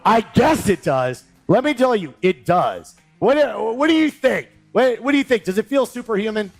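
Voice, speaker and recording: A man's voice: high voice, very animated, moderate pace, faint music behind. A man hosting an interview, emphatic and rambling, with lots of energy. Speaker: show host.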